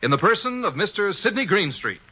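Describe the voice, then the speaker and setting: A man's voice: high voice, sing-song, brisk, lo-fi sound. A man announcing a 1950s radio drama in a grand, showy manner. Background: music.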